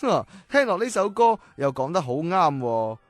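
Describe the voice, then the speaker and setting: An actor playing an earnest, excitable and easily flustered old-time inventor in a children's audio drama, theatrical and exclamatory. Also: male voice, fairly high pitch, moderate pace, no room sound, faint music behind.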